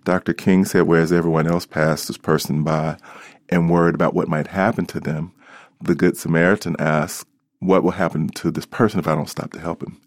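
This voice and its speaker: Very deep-voiced American man, dry sound. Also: flat delivery, a little fast, no room sound. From Alabama, United States. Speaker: doctor, interview guest. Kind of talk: reflective interview talk.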